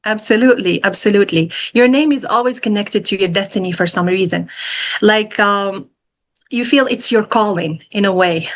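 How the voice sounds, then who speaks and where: Moroccan-born woman, earnest reflective podcast guest, mid-pitch, trips over words and restarts. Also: normal speed. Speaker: guest life coach. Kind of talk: podcast interview.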